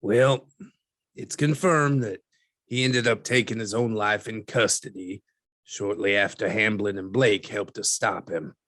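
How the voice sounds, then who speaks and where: A man playing a dry, skeptical newspaperman who explains things in a measured, wry interview style for an audio drama. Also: fairly low pitch, a bit bright, dry recording. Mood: matter-of-fact. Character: dry, skeptical newspaperman recounting archived cases.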